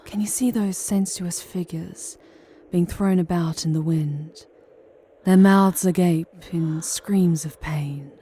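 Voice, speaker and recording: A seductive demon temptress, acted by a woman in an ASMR roleplay, speaking in a low, mellow voice. Her delivery is very slow, theatrical and breathy, often slipping into a whisper close to the microphone. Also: steady tone, a little hiss or hum. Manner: theatrical, formal, drawn-out phrasing. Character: charming, seductive female demon guide through hell. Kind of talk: intimate ASMR roleplay, scripted monologue.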